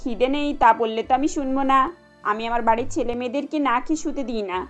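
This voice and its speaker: Woman playing a high-voiced, kindly mother-in-law. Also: a little fast, soft background music, lightly acted. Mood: affectionate, insistent. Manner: motherly, gently insistent. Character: kindly, caring middle-aged mother-in-law, protective and reassuring.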